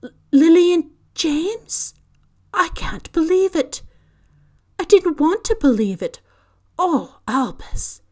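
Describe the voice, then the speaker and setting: A stern older witch, sharp and pressing, her voice pitched up and loud with worry, as a woman reading the story puts her on. She pushes her questions urgently, the words trembling. A storybook schoolmistress on edge. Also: expressive, unhurried. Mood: distraught. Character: stern older witch professor, anxious and emotional.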